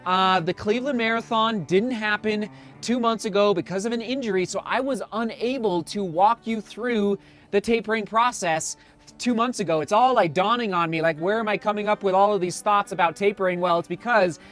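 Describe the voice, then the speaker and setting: Upbeat high-voiced man vlogging. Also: a little fast, fairly bright tone, soft background music. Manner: upbeat, chatty, thinking aloud. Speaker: running vlogger. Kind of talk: casual vlog to camera. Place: mountain summit, car, shop, indoor room. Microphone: action camera at arm's length.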